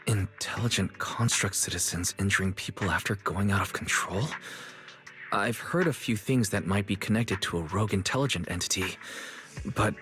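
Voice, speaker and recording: A man's voice: low voice, moderate pace, sharp tone, faint music behind. A man playing a kind, tactful, dependable young store manager in a video game, speaking politely. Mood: reassuring. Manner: polite, considerate.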